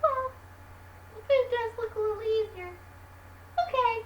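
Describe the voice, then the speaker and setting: A woman's voice: very high voice, a little slow, roomy sound, far from the mic, slight background noise. A woman voicing an earnest little boy who follows the rules and gets frustrated easily, in a whiny, indignant way.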